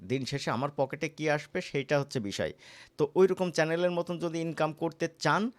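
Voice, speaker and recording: A man with a Bangladeshi accent giving a casual, conversational software tutorial as an instructor, often checking in with the listener. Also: on the high side, normal speed. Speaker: animation course instructor.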